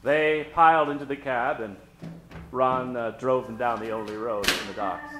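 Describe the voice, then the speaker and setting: A man's voice: mid-range voice, fairly even intonation, moderate pace, pushed and bright, poor audio. A man narrating an old-time radio comedy as a storyteller. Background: music.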